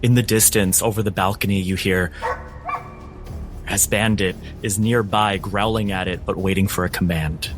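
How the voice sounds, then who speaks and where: A low-pitched man runs a tabletop game as game master. He describes the scene with theatrical emphasis and dramatic delivery, with music playing clearly behind him. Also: fairly even intonation, moderate pace.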